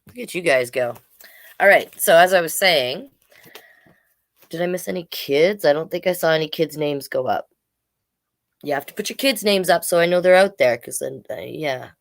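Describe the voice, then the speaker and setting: A woman's voice: deep voice, lively intonation, moderate pace, vocal fry, dry recording. A middle-aged woman chatting casually as a storytime host, with playful, self-deprecating asides. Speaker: drag king host reading children's books. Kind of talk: casual livestream chat and storytime reading.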